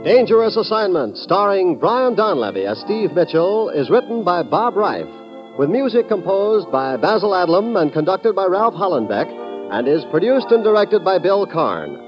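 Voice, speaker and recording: A man's voice: high voice, sing-song, moderate pace. A man with an American accent delivering scripted 1950s radio announcements. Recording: dull vintage radio recording over music. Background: music.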